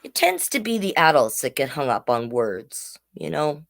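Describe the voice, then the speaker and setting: A woman's voice: low voice, very animated, moderate pace, creaky, slight background noise. A woman hosting a children's storytime, reading a picture book aloud with chatty, simply worded asides to young listeners. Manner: simple, child-directed explaining with tag questions. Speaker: children's storytime host and reader.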